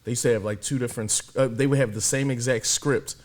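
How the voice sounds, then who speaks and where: A man's voice: mid-range voice, fairly even intonation, quick pace. A man co-hosting a reaction video, chatting in a laid-back, slangy way. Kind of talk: casual reaction commentary.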